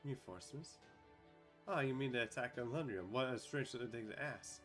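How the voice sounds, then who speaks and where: A man voicing a cold, scheming female high queen who speaks calmly and mockingly, in a fan dub. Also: moderate pace, faint music behind, close to an everyday voice. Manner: calm, cruelly mocking.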